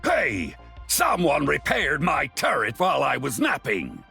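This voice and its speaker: A grumpy game engineer with a gruff, touchy manner. The voice sits fairly high and sharp, with gravel in it. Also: male voice, huge pitch swings, measured pace, soft background music, exaggerated voice. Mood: surprised. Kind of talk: video game voice lines.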